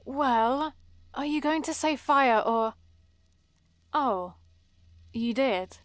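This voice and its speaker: A woman's voice: sits high, lively intonation, very slow, exaggerated voice. A woman playing a prim, bossy and exasperated vampire librarian in a scripted ASMR roleplay, scolding in a fussy, theatrical way. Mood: urgent, exasperated.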